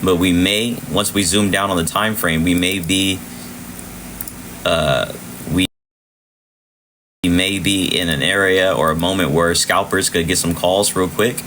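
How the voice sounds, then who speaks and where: Deep-voiced man hosting a trading livestream, voice going hoarse. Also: fairly even intonation, measured pace, pauses often, slight background noise, poor audio. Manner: chatty, shouting out viewers, repeats himself. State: losing his voice. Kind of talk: casual live chart commentary.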